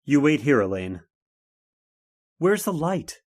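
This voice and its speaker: A man's voice: on the high side, sing-song, takes it slowly, full character voice. An actor playing an earnest young man who tells his own horror tale in old-time radio style. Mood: alarmed. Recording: radio drama with loud music behind.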